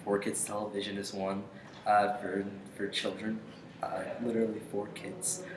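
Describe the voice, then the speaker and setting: Young adult male interviewee, slightly low pitch, halting casual delivery, faint background noise. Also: completely flat delivery. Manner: hesitant, halting, pausing often. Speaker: interview guest, aspiring voice actor. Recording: echoey room with faint noise.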